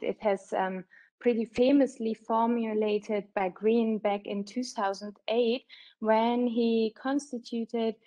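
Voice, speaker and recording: A woman whose first language is German delivers an academic talk remotely over a video call. She explains her points in a measured, steady way and pauses often to gather her words. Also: medium pitch, rough recording. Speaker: guest lecturer. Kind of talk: academic lecture.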